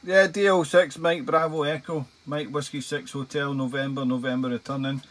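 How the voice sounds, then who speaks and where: Scottish guy on ham radio, bit high pitched, chatty, repeats himself, call signs and small talk. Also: steady tone, normal speed, a bit bright, a little hiss or hum. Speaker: amateur radio operator, hobby video maker. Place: small outdoor radio shack.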